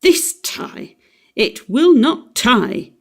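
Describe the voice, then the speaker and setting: A woman voicing a blustering, pompous middle-aged father who is loud and sarcastic. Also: high-pitched, very animated, extremely slow, pitched above the performer's own voice, full character voice. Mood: exasperated. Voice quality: loud, exclaiming.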